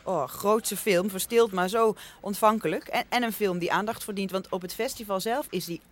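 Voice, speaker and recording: Actress as an earnest, pretentious film critic. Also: female voice, medium pitch, moderate pace, natural voice. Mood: earnest, reverent. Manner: lofty, savouring words, emphatic. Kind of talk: radio comedy acting.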